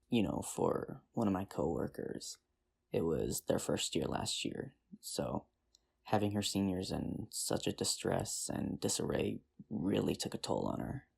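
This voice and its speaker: A low, heavily creaky voice acted out for an ASMR roleplay of an earnest, nerdy teacher on a tentative date. The delivery is husky and intimate, rambling along with hesitant, self-deprecating charm. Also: darkish tone, heavily acted. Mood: thoughtful, candid. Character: nerdy, earnest high school teacher nervously courting a date.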